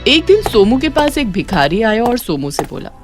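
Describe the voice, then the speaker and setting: A woman's voice: fairly high pitch, sing-song, normal speed. A woman narrating a scripted children's moral story. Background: music.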